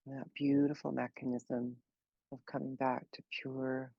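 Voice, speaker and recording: Gravelly, even-toned woman guiding meditation. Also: a bass voice, no room sound, dull audio. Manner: slow, gentle, unhurried instruction with long pauses. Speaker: meditation teacher. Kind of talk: guided meditation.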